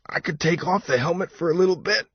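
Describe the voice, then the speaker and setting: Shrill, wretched young traveler near panic. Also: male voice, quick pace, breathy edge, no room sound, dull audio. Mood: wretched, queasy. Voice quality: shrill, strained. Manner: whining, agitated complaining. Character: wretched, panicky young male traveler in armor, close to hysterics.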